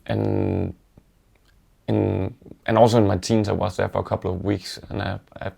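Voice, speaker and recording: A Danish-accented man speaks in a low, gravelly voice with a heavy fry on his words. His delivery is level and unvaried, halting and reflective, like someone recalling stories in a relaxed interview. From Denmark. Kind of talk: casual reflective storytelling.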